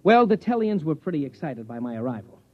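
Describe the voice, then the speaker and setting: A man's voice: on the high side, very animated, fast talker. A man playing a put-upon, grumbling space explorer, wry and plain-spoken, in 1950s radio drama style. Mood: wry narrating. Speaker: narrator and lead character. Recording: dull vintage radio recording.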